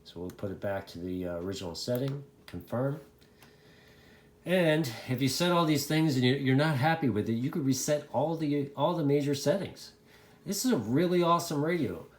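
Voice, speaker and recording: A man giving a casual hands-on gadget review, haltingly thinking aloud as he demonstrates. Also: mid-range voice, lively intonation. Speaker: product reviewer. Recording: echoey, roomy sound.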